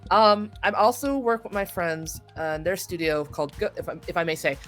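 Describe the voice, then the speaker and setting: A woman voice actor being interviewed, chatting casually during a video game in an excitable, halting way, often interrupting herself. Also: fairly low pitch, soft background music. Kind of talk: casual chat while playing a video game.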